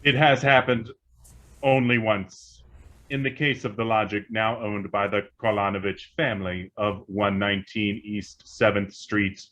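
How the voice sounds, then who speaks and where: Man acting a low-voiced, formal talking computer. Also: steady tone, measured pace, fairly bright tone. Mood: formal, informative. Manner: formal, stilted, machine-like recital. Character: literal, obedient male talking computer called a logic. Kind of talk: radio play acting.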